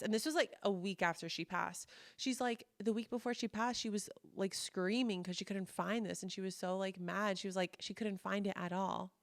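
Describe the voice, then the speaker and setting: A woman in her thirties telling personal stories in a chatty, casual monologue, full of fillers and animated quoting of others. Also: medium pitch, creaky, no room sound, studio quality. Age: 30s. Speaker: podcast host and coach.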